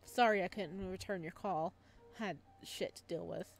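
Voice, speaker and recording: A woman's voice: on the low side, normal speed, gravelly, faint music behind. A woman voicing an anxious male visual novel protagonist who keeps a casual, easygoing front.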